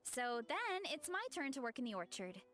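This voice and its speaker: A woman's voice: high voice, big pitch swings, moderate pace, fairly bright tone. A woman voicing a sweet, sleepy, easygoing young farm girl in an anime game. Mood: relaxed, sheepish.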